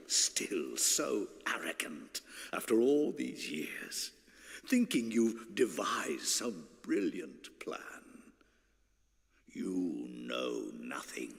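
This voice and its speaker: A sly, arrogant old sorcerer from a dark video game. He mocks in a fairly low, creaky voice with highly varied intonation, savouring each condescending phrase. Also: long reverb tail, exaggerated voice. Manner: sneering, theatrical, condescending. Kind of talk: dramatic video game acting.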